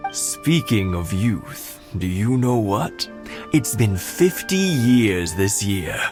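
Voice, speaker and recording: An elderly, hospitable villager in a video game. He speaks very slowly and genially, with a swinging, storytelling melody. Music runs clearly behind him. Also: on the low side, big pitch swings. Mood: nostalgic, teasing. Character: elderly village husband, hospitable, fondly reminiscing. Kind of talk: English video game voice acting.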